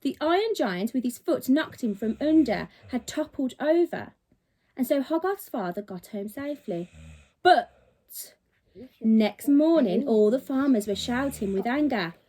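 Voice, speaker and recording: A woman reading a children's storybook aloud in an animated, dramatic, teacherly way. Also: high voice, unhurried. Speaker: primary school teacher.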